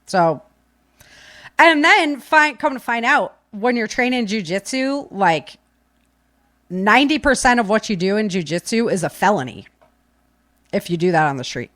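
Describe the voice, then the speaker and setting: A woman's voice: medium pitch, lively intonation, moderate pace, long pauses, fairly bright tone, no echo, studio quality. A woman hosting a podcast, chatting informally to live listeners in a loose, rambling way. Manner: informal, filler-heavy, rambling. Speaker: podcast host. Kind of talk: casual chat to live listeners. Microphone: webcam stream setup, set back from keyboard.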